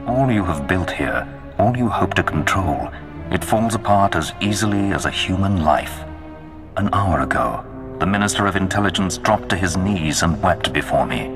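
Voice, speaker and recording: An actor playing a cold, commanding Sith dark lord in a video game, speaking in a slow, ominous, imperious manner. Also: male voice, low voice, animated, music in the background. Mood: cold menace.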